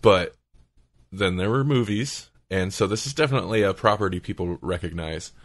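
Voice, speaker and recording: Low-voiced man hosting a casual podcast. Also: moderate pace. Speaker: podcast host. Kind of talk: casual conversational podcast talk.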